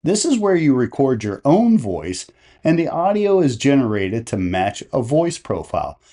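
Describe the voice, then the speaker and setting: Male how-to narrator: mid-range, mellow voice, measured instructional delivery. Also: medium pitch, big pitch swings. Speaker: tutorial host.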